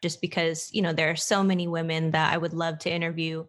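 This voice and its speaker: Slightly low-voiced woman co-hosting a podcast. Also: level tone, fast talker. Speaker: podcast co-host. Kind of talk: casual chat.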